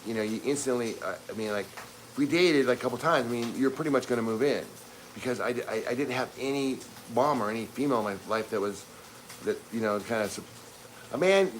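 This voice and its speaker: A man's voice: medium pitch, fast talker, slight background noise, low-quality recording. Rambling man in his fifties. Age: 50s. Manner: rambling, with many restarts and asides. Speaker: livestream co-host. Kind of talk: casual livestream chat. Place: home kitchen.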